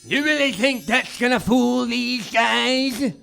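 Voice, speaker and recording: A man's voice: extremely high pitch, slow, creaky, bright, forceful tone, faint music behind, heavily acted. A man doing a scolding phantom dad. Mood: mocking. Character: hero's scolding ghostly father figment.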